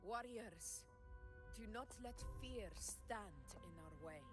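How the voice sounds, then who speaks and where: A woman playing a proud, resolute female warrior leader in a dramatic video game, speaking in a stately, declamatory way. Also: fairly high pitch. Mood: rousing, resolute.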